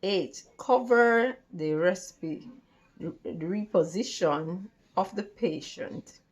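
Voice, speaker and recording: A woman tutor reading study material aloud in a careful, halting way, with stumbles and repeated words. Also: on the low side, slightly rough, dull audio. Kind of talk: read-along lesson from a textbook.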